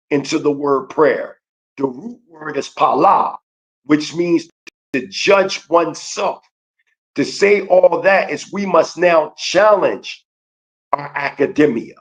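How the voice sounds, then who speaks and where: Man, slightly high voice, emphatic preaching-style lecturer on a livestream. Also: rough recording. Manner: emphatic, slow, repeats key phrases. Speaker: guest teacher.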